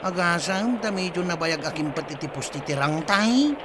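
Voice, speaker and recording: Village helper, male actor: eager, respectful, quick to interject, set against clear ambient noise. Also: sits high, animated. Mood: respectful, relieved. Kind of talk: dramatized radio-style serial acting. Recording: noisy ambient backdrop.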